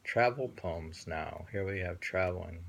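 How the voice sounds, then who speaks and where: A man reading poems aloud with casual commentary, musing and halting as he goes and often repeating phrases. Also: sits low, creaky, a bit bright, slight background noise. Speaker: host reading and discussing poems.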